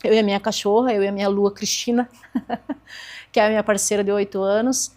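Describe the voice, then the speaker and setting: A woman's voice: mid-range voice, steady tone, brisk, darkish tone. A woman in her forties, a podcast guest earnestly telling her own story and acting out past conversations. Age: 40s. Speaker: podcast guest, therapist and speaker.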